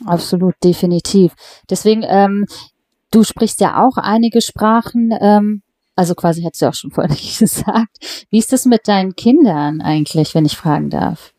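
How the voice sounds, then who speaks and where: A woman's voice: medium pitch, normal speed, dark tone, dry recording. A woman hosting a casual conversation, agreeing readily and asking her guest questions. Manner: engaged, agreeing, asks questions.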